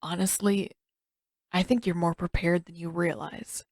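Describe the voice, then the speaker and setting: A woman's voice: on the low side, steady tone, unhurried, a little mellow, no room sound. A woman acts out a teenage boy prince in an audiobook story. He is reserved and unsure of himself, speaking in a subdued, hesitant way. The recording is very dry. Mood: quiet reassurance. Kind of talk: audiobook character dialogue.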